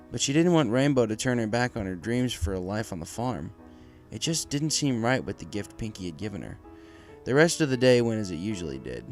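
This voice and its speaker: A man reading scripted story narration as the narrator, close to the microphone. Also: on the low side. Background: music.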